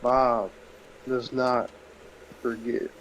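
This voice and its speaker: A man's voice: medium pitch, level tone, very slow, lo-fi sound. Man gaming over noisy voice chat. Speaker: gamer in a group let's play. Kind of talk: casual banter during gameplay, with mock-prophetic storytelling.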